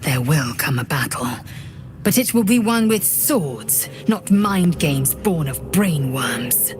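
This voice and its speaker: Proud warrior woman, slightly low voice, severe, commanding, suspicious, video game drama, loud music behind. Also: lively intonation, takes it slowly. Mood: fierce, certain.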